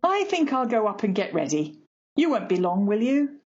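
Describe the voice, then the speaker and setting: A woman's voice: mid-range voice, big pitch swings, normal speed, darkish tone, highs cut off. A woman playing a practical older mother in an amateur radio play, chiding and dismissive in manner. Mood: homely, uneasy.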